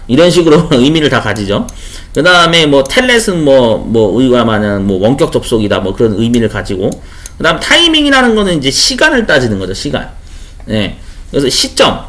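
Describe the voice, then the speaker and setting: A man's voice: mid-range voice, a bit bright. A man teaching exam questions in an informal lecture style, chatty, repeating key terms and explaining with everyday examples. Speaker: online instructor for a certification exam.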